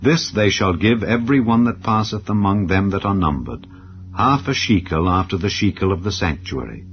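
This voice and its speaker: A man narrating scripture as a dramatized audiobook, in a solemn, measured and formal delivery. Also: deep voice, lively intonation, highs cut off. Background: background music.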